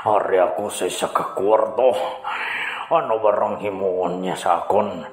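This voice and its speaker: A man with a Western Visayan Filipino accent playing a brooding, vengeful captive in an audio drama, muttering haltingly to himself. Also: low-pitched, steady tone. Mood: uneasy, brooding. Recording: echoey room sound.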